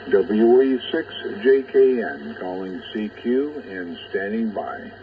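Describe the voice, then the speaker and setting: A lowish-voiced American man talks chattily as a ham radio operator. His voice comes through a narrow, phone-like radio channel with a little static behind it. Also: animated, slow pace. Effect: heard over ham radio. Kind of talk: chatty on-air radio contact with phonetic spelling. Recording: muffled narrow radio audio, faint static. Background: faint radio noise.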